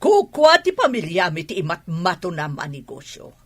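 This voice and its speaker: A woman with a Filipino accent playing a domineering, nagging, jealous wife in a radio drama, husky-voiced. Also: lowish voice, huge pitch swings, moderate pace, full character voice. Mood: angry, threatening.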